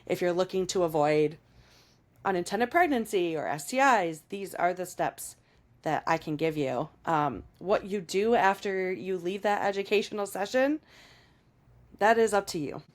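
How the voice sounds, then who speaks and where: A woman podcast host and sex educator, hoarse and raspy from a cold, chatting emphatically and laughing often. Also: lowish voice, normal speed. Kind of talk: casual podcast conversation.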